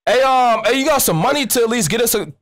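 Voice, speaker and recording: A man's voice: very high-pitched, expressive, fast talker, fairly bright tone. A young American man making a prank call in casual street slang, rambling, nonchalant and profane, close on a studio mic. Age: young adult. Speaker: prank caller and streamer. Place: indoors at a streaming desk. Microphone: close studio mic with pop filter, headset on.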